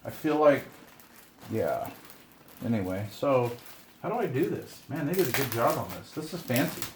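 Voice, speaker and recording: A middle-aged or older man chatting casually to viewers while unboxing, excited and rambling, often talking to himself. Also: medium pitch, normal speed, background noise. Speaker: hobbyist video host. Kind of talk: casual unboxing chat to camera.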